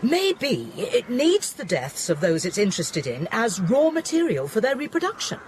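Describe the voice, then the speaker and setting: Woman scientist as acted, lively and analytical. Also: a little high, a crowd in the background. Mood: thoughtful. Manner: explanatory, measured, thoughtful. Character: rational, analytical scientist, patient and steadying. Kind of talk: audio drama acting.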